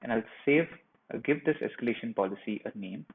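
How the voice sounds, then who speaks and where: A man presenting a software tutorial, narrating each step of a screen share in a plain, instructional way. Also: medium pitch, fairly quick. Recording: muffled phone-like narrow band.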